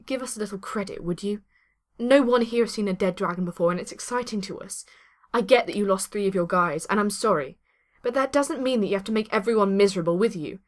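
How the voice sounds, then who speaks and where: A woman's voice: mid-range voice, fast, lightly acted. A woman playing an earnest, kind and plucky young survivor in an audio drama. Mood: firm, pleading.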